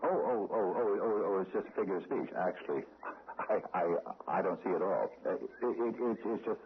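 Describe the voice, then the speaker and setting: A man's voice: lowish voice, brisk, natural voice. An actor playing a timid, mild-mannered American man who stammers nervously and placates, in 1970s radio drama style. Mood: uneasy, placating. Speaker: actor in a radio mystery play. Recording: muffled, noisy old radio broadcast recording.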